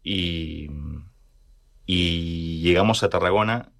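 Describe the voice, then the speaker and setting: A man from rural Argentina with an Argentine accent, telling personal stories casually and haltingly as he searches for words. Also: sits low, fairly bright tone. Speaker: interview guest.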